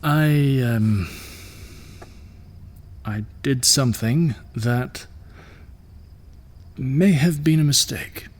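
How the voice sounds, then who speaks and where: A man's voice: mid-range voice, drawn-out words, long pauses. An actor playing a weary, wry middle-aged ruler and father in an audio drama, speaking hesitantly. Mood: hesitant, confiding.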